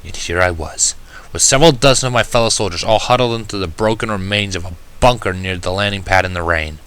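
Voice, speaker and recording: A man's voice: low-pitched, fast talker, fairly bright tone, clean tone. A man reading first-person fiction as a dramatic narration, with a wry, sardonic delivery. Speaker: fan fiction reader. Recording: music behind the voice. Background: music.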